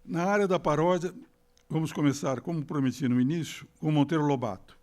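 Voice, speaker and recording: Older academic lecturing, halting. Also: male voice, on the high side, darkish tone. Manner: halting asides with fillers and self-corrections. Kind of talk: formal lecture with read quotations. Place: lecture hall.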